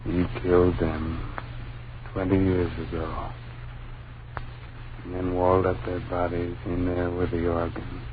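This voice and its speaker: An actor playing an earnest, shaken husband and father, speaking in a halting, grave way in an old-time radio horror play. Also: deep voice, monotone. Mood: grave, hushed. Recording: tinny, noisy old radio broadcast.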